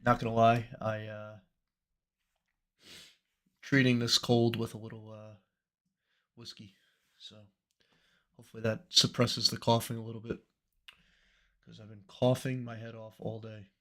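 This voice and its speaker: A young man with a cold, slightly hoarse, chatting in a relaxed, rambling way as host of a trading livestream. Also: fairly low pitch, unhurried, pauses often. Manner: relaxed, rambling, many fillers. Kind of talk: casual livestream chat.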